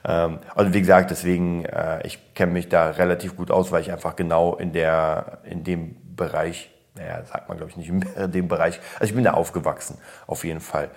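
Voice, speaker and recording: A man's voice: low-pitched, little pitch movement, a little fast, hardly any pauses. A man from Berlin, born in Poland, hosting a podcast and chatting casually with lots of restarts and fillers. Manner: rambling, frequent restarts and fillers, blunt. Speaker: podcast host. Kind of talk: casual opinion chat.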